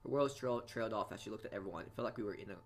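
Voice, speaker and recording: A man reading a visual novel's narration aloud and casually commenting, often stumbling over words. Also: lowish voice. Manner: halting reader, often stumbles and repeats words. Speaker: video game let's player. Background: game music.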